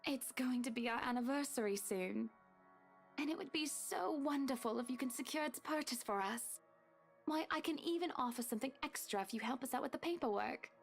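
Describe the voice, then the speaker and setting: A man voicing a pushy, wealthy woman who talks slowly and patronizingly in a visual novel reading. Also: very high-pitched, gravelly, soft background music, voice pushed up. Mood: condescending. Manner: syrupy, slow, patronizing.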